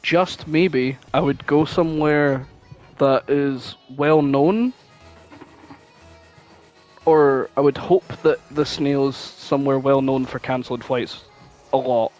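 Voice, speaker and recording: Man, mid-pitched voice, casual podcast chat, rambling, sweary, animated, music in the background. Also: slow pace. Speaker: podcast co-host.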